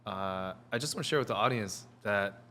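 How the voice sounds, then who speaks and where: A man's voice: lowish voice, brisk, sharp tone, slight background noise. A man hosting a podcast, asking questions in a casual, playful way. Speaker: podcast host.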